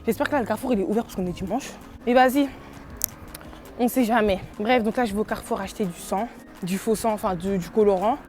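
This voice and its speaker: A woman's voice: a little high, a little hiss or hum. A young woman from France chatting casually to her phone camera in a vlog, rambling and giggling, with a head cold. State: has a cold. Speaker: vlogger.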